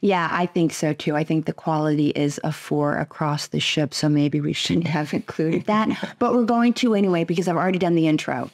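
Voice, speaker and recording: Low-voiced woman leading a casual review. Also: a little fast, few pauses, darkish tone. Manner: upbeat, leads with questions. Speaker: travel vlogger. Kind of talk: casual restaurant review chat.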